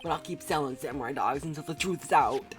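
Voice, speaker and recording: A woman voicing a goofy, boastful young male hot dog seller from a video game, in a slangy, excitable drawl. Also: low-pitched, fairly quick, soft background music, natural voice. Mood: boastful, easygoing.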